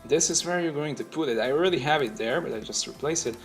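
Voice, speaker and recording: A man narrating a casual software tutorial, explaining things step by step as the host. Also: fairly high pitch, quick pace, creaky, faint music behind.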